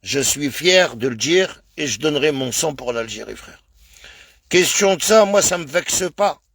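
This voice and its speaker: A man's voice: fairly high pitch, expressive, unhurried, gravelly, fairly bright tone, breathy edge, dry recording, clean studio sound. A man from France talking in a casual live stream, speaking emphatically and repetitively, calling his viewers brother.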